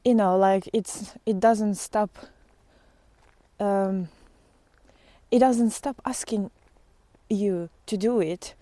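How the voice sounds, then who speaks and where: A woman speaks at a slow pace in a medium-pitched voice, with very little room sound. She talks like a spiritual vlogger thinking aloud, casual and unscripted. Her delivery is reflective and halting, with pauses between short phrases. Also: fairly even intonation, dark tone, no echo.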